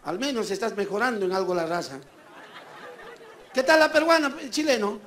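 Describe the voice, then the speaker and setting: A man's voice: high voice, expressive, normal speed, a bit bright. A man from Peru with a Peruvian accent performing stand-up comedy, telling stories theatrically and bantering with his audience. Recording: live show with crowd and background noise. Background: audience ambience.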